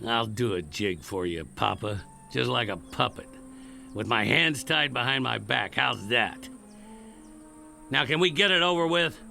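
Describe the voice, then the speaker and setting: A callous Old West outlaw in a radio drama. His American voice is gravelly and forceful, with a rustic, sardonic drawl that defies everyone around him. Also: mid-range voice, lively intonation, slow, long pauses, soft background music, full character voice. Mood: mocking.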